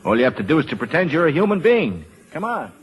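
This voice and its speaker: An actor playing a curt, distrustful ex-soldier in an old-time radio drama, with clipped, sardonic, slangy delivery. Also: male voice, fairly high pitch, sing-song, fast, over loud music. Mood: teasing. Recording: vintage radio broadcast recording.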